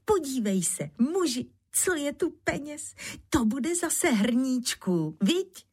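A woman's voice: high voice, sing-song, husky, voice pushed up, heavily acted. A woman voicing a naive, childlike peasant wife in a storybook tale, with a wailing, pleading manner. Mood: delighted.

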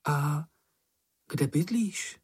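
A storyteller acts out a young female creature from a children's storybook. She is sweet, practical and a little vain, brisk and ladylike in a high, husky, lilting voice. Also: lively intonation, darkish tone. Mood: curious.

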